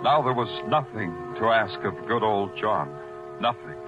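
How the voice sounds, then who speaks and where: Deadpan detective, muffled old radio. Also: male voice, lowish voice, measured pace. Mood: brooding. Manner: deadpan, brooding, poetic. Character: world-weary, sardonic hardboiled police detective. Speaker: lead actor and narrator. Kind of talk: 1940s hardboiled radio narration and acting. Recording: muffled vintage 1940s radio recording, music behind.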